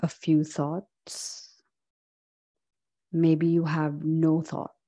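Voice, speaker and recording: A woman yoga instructor leading a guided meditation in a calm, gentle, unhurried way with long pauses. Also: low voice.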